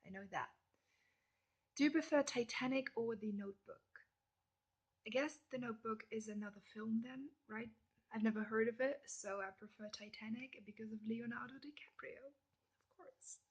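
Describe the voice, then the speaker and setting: A young woman from Germany with a German accent, chatting casually through a list of questions and often dropping into soft whispered asides. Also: a little high, normal speed, slightly rough, low-quality recording. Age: young adult. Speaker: vlogger. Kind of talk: casual question-and-answer tag video.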